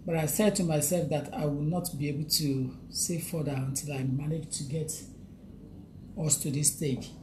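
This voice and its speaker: A woman's voice: low voice, fairly even intonation, moderate pace, background noise. A woman with a Nigerian English accent hosting a live stream, greeting viewers by name and repeating her points in a chatty way. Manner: chatty, repeats phrases, reads out viewer names, laughs often. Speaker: livestream host.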